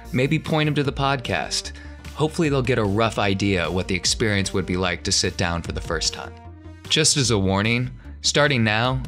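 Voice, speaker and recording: A man's voice: on the low side, a bit bright. A man hosting an actual play podcast as game master, talking casually and introducing the game.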